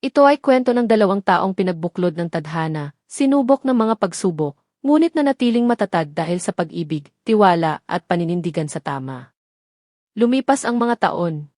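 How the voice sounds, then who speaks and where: A woman's voice: lowish voice, expressive, moderate pace, a little mellow, no room sound. Woman narrating a story, dry sound. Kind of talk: scripted dramatic story narration.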